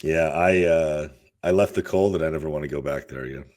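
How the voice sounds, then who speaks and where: Very deep-voiced man, candid storyteller. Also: brisk, darkish tone. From Russia. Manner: candid, rambling storyteller with restarts. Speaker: podcast guest, fitness coach. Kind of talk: casual interview talk.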